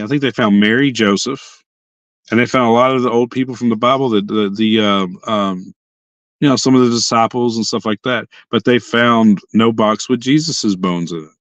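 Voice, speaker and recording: A hoarse young man hosting a casual livestream chat from his parked car, rambling and often restarting his sentences. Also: mid-range voice, fairly bright tone, no echo, dull audio. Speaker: livestream host.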